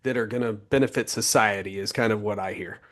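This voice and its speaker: Animated male podcast host. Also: a little fast. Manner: lively, pitch jumps when excited. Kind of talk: casual podcast interview.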